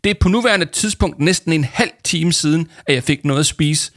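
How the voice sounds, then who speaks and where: A man voicing a big, self-important adult man who is always hungry and shouts his announcements with great solemnity. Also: natural voice. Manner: booming, dramatically solemn shouting.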